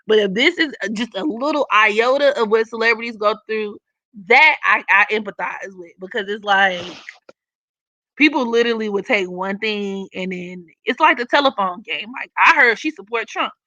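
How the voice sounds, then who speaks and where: A young woman with a raspy voice hosting a casual live stream, reading comments and giving blunt, profane opinions with frequent laughs. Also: medium pitch, lively intonation, moderate pace, pushed and bright, no room sound, lo-fi sound.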